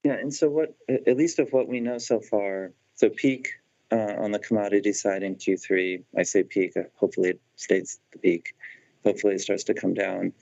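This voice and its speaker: A man giving careful, measured explanations as a finance executive on an earnings call. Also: lowish voice, steady tone, gravelly, darkish tone. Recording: dull conference-call audio.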